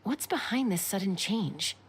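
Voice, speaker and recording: An actress voicing a composed young woman in a video game, warm and wry, with measured, conversational delivery. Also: medium pitch, lively intonation, slight background noise, close to an everyday voice. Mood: concerned. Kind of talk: scripted video game voice acting.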